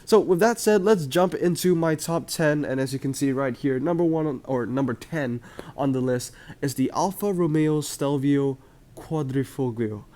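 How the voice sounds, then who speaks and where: A man's voice: fairly high pitch, moderate pace, dark tone. A young man hosting casual gaming commentary to his viewers. He is chatty and upbeat, uses frequent "uh" and "um", and his voice is slightly off from a cold. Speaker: mobile game video host.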